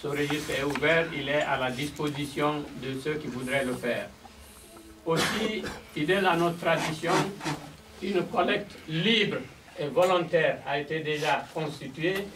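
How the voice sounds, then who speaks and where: A man acting as master of ceremonies at a memorial in a community hall. He speaks in a formal, measured and courteous way. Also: on the high side. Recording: distant mic, clear room noise.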